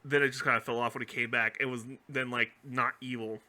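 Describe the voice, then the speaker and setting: A man's voice: medium pitch, level tone, fast, bright, forceful tone. A man hosting a podcast in casual chat, rambling and opinionated in slangy language. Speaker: podcast host.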